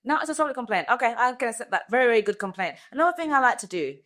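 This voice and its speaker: A woman hosting a comedy podcast, chatting casually with playful teasing and drawn-out words. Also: a little high, very animated, a bit bright. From Ghana. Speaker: podcast host. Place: studio podcast.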